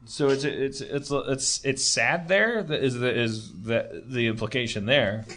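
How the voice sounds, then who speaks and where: A man's voice: medium pitch, unhurried, a bit bright. An American man hosting a comedy show, chatting with guests in a rambling, stammering, stop-start way.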